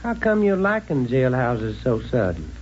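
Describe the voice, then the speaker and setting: An actor playing a folksy, grumbling but cheerful adventurer with a Southern US accent and slangy talk, in a 1940s radio serial. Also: male voice, mid-range voice, huge pitch swings, measured pace, soft background music. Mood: disgruntled. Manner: folksy drawling slang, complaining. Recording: noisy, muffled old-time radio recording.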